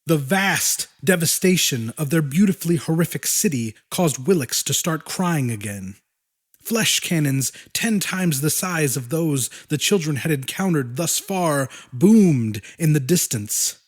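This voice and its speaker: A man's voice: on the high side, animated, a little slow. A man narrating a fiction audiobook in a dramatic, scripted storytelling style.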